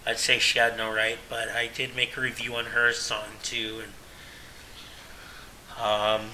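A man's voice: mid-range voice, sharp tone, a little hiss or hum, poor audio. A Canadian man in his late thirties, talking earnestly and at length in a rambling, self-correcting personal monologue as a podcast host. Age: 30s.

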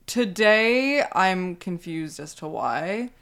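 A young woman with a deep voice, co-hosting a podcast and telling rambling stories full of filler words. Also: lively intonation, slow, a bit bright. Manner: rambling anecdotes, many "like" fillers. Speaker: podcast co-host. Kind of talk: casual podcast chat.

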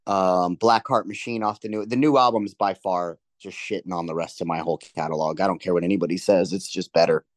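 A man's voice: low-pitched, steady tone, brisk, few pauses, dry recording, studio quality. A man chatting casually in an interview as a touring musician, with slangy, informal phrasing. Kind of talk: casual chat.

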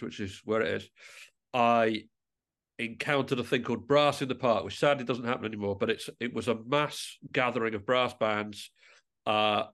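A man's voice: medium pitch, fairly bright tone. A man from England talking as an interview guest in a casual, reflective and discursive way.

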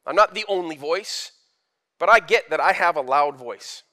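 A man's voice: fairly high pitch, lively intonation, normal speed, pushed and bright. A man preaching a sermon as pastor, candid and conversational, building to emphatic rises.

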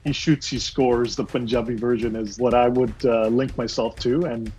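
Laughing, animated Toronto sportscaster man. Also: medium pitch, moderate pace, soft background music. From Toronto, Canada. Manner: animated, laughs often, switches into Punjabi. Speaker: sports broadcaster guest. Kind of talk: casual video call interview.

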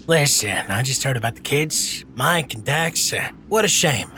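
A man's voice: mid-range voice, big pitch swings, unhurried, noisy background. An actor playing a brash, smarmy personal trainer and spokesman in an audio drama, with glib, over-friendly, chuckling patter. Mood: smarmy sympathy.

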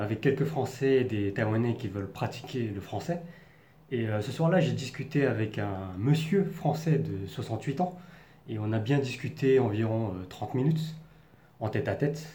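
Young man from the Paris region, vlogger, earnest and searching for words, warm dark tone, roomy sound. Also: medium pitch. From France, Paris region. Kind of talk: personal storytelling to camera. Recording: echoey room.

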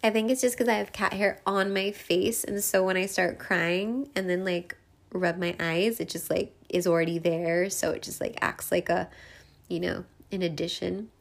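Tearful woman with a gravelly low voice. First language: Hungarian. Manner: open and confessional, laughing through tears, frequent fillers. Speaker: podcast host. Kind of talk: emotional personal monologue.

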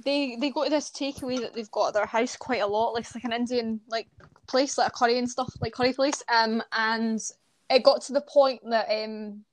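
A woman's voice: on the high side, steady tone, normal speed, no room sound, rough recording. A Scottish woman chatting on a podcast with friends, telling stories with lots of fillers and giggles. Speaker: podcast guest. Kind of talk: casual chat among friends.

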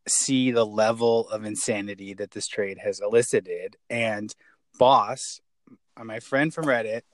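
A man's voice: on the low side, measured pace, no echo. Wisecracking male podcast host, dry sound. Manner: playful, wisecracking. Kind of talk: casual joking interview.

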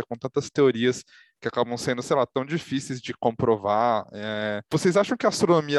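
A man's voice: medium pitch, dry recording. Chatty male podcast host, dry sound. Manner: chatty and friendly, with frequent fillers. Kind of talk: casual podcast interview.

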